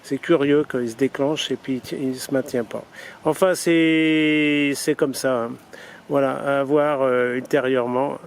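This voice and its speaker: A man's voice: medium pitch, steady tone, measured pace. A man chatting casually as an amateur radio operator, hesitant and repeating himself, often ending phrases with little tags. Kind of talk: casual ham radio chat. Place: outdoors, by road or vehicle. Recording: noisy outdoor recording with traffic.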